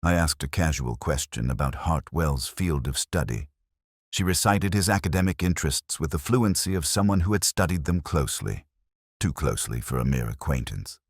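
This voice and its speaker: A man narrating a detective story in the first person, in a measured, literary and brooding manner. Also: very deep voice, no room sound, clean studio sound.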